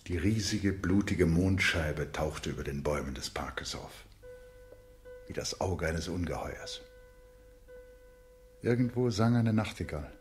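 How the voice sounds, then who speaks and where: A man giving measured, scripted literary narration for a radio play. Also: low voice, lively intonation, soft background music.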